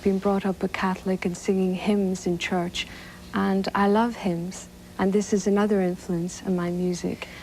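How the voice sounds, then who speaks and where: A young Irish woman, Irish Gaelic her first language, giving soft, hesitant interview answers with many fillers. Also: mid-range voice, little pitch movement, normal speed, a little hiss or hum. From northwest Ireland. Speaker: musician guest. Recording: dull lo-fi broadcast tape.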